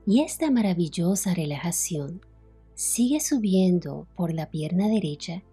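A woman leading a guided relaxation as a meditation instructor, soothing and unhurried, with long pauses between phrases. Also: lowish voice, animated, dark tone, breathy edge, faint music behind. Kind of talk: guided relaxation, yoga nidra narration.

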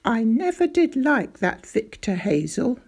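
A woman voicing a small elderly country doctor, excitable and easily outraged, in a storybook reading. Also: fairly high pitch, measured pace, creaky, breathy edge, exaggerated voice. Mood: indignant. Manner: excitable, grave then outraged.

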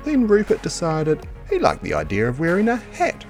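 A man reading a children's picture book aloud as narrator, in an expressive, measured storytelling delivery. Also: on the high side, faint music behind.

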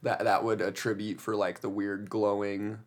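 A man's voice: fairly low pitch, level tone, normal speed. A young man co-hosting a casual podcast, joking and skeptical, swearing casually. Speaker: podcast co-host.

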